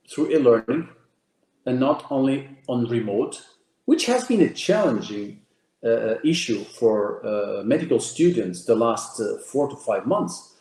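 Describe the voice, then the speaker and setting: A man's voice: mid-range voice, a little mellow, echoey room. A man whose first language is Portuguese, giving an emphatic presentation to an audience, counting off key ideas and repeating words as he goes. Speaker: speaker giving a talk.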